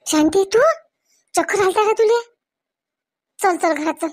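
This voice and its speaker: A woman's voice: very high-pitched, unhurried, darkish tone, no room sound, clean studio sound, pitched above the performer's own voice. A woman voicing an anxious, scolding village husband in a comedy cartoon, nagging and questioning. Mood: alarmed.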